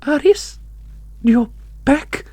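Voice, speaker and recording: A woman playing a commanding celestial heroine in a fantasy audio roleplay, with a breathy, creaky voice. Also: high-pitched, expressive, extremely slow, a little mellow, dry recording, exaggerated voice. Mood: startled, urgent. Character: commanding celestial woman searching for her lost grandmaster sibling.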